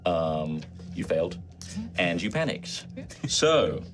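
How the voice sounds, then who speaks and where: A man's voice: low voice, very animated, takes it slowly. A man narrating a tabletop roleplaying game as game master, conversational with theatrical emphasis when he describes scenes. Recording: background music under the voice.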